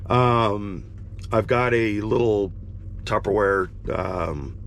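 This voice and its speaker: Low, gravelly male vlogger, slightly crackly from a cold, rambling and relaxed, recording in a truck sleeper bunk. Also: takes it slowly. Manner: rambling, relaxed, lots of fillers and restarts. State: slightly crackly voice, recovering from illness. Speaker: model railroad vlogger. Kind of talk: casual walkthrough vlog.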